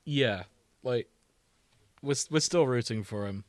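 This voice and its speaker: A man with a medium-pitched voice co-hosts a casual podcast. He speaks in an easygoing, conversational way, unscripted and informal. The recording is dry, with no room sound. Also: unhurried, no room sound. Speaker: podcast co-host.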